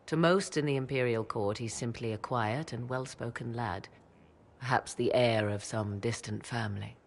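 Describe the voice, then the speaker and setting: An enigmatic mage who raises her son alone, speaking in a measured, old-fashioned formal manner. Her voice is husky and gravelly. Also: extremely low pitch. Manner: measured, formal, archaic speech. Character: mysterious aloof mage mother, grave and secretive. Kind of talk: video game cutscene dialogue.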